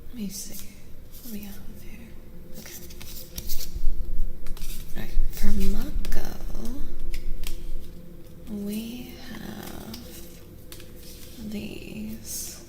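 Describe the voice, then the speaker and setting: A woman streaming soft-spoken ASMR tarot readings, chatting in an unhurried, rambling way and often slipping into whispers. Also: medium pitch, fairly even intonation. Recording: roomy reverb with clear background noise.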